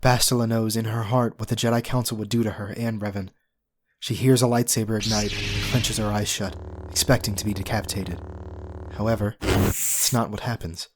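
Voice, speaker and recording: A man's voice: fairly low pitch, steady tone, moderate pace, noisy background. A man narrating a scripted audio drama, reading scene directions in a dramatic manner.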